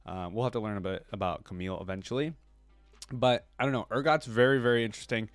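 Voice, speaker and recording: A man's voice: on the low side, fast talker, no echo, soft background music. A man hosting a reaction video, giving casual, conversational commentary that rambles with plenty of filler words.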